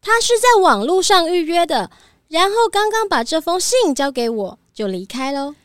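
A woman's voice: extremely high pitch, sing-song, normal speed, no room sound, clean studio sound. A woman playing a polite young rental clerk with chirpy, sing-song customer-service manners in a children's audio drama.

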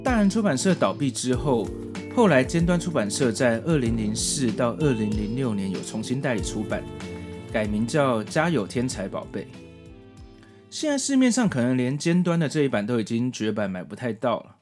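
A man's voice: medium pitch, sing-song, normal speed, over music. A man with a Taiwanese Mandarin accent presenting a podcast in a semi-scripted, explanatory narration style. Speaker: podcast host.

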